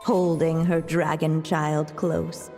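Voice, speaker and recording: In a long echo over music, a motherly witch tells a storybook tale. The voice is lowish, soft-toned and very unhurried, tender and solemn. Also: flat delivery. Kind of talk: storybook narration in a video game. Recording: long cavernous reverb.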